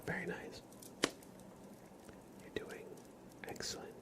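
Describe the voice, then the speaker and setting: A man hosting an ASMR livestream in a breathy whisper, speaking gently with playful mock-seriousness as he acts out little roleplays. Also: very slow. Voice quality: breathy whisper, mostly unvoiced.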